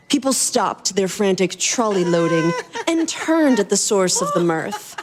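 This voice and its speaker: A woman's voice: mid-range voice, big-room sound. A woman reading scripted narration as a podcast host.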